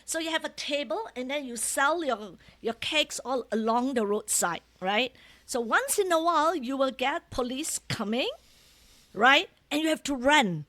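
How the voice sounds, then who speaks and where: High-voiced Malaysian woman telling stories. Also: unhurried. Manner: animated, often ends phrases with tag questions. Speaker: interview guest, author. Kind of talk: casual storytelling interview.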